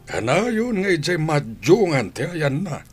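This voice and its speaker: Actor as an animated elderly grandfather. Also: on the high side, a little slow, soft background music. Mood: disapproving. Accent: Ilocano. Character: elderly grandfather, concerned, admonishing family patriarch. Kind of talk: Ilocano radio drama acting.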